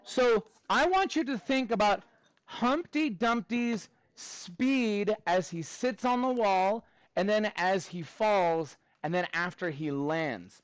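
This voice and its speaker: A man with a high-pitched voice works as a teacher in front of his class. He talks informally and with animation, encouraging the students as he goes, and the sound is close and dry. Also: slow, clean tone, no echo. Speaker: middle school math teacher.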